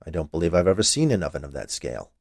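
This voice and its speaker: A man's voice: extremely low pitch, very animated, very fast, creaky, a little mellow, dry recording, studio quality, pitched below the performer's own voice, exaggerated voice. A man voicing a stern, fussy small health inspector with a curt, pedantic manner in a storybook tale. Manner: officious, pedantic, curt, defensive.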